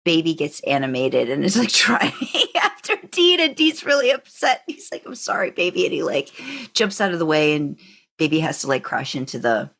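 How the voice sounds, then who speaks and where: Low voiced lady podcast host, casual fan chat, kinda rambly with lots of asides. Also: big pitch swings, normal speed, creaky, fairly bright tone. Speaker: narrator by trade.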